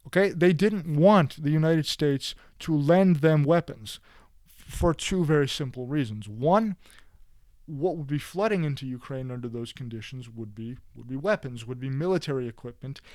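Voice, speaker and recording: A man's voice: mid-range voice, darkish tone, dry recording. A man giving opinionated solo video commentary, speaking bluntly and emphatically with frequent asides and restarts. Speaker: political commentator.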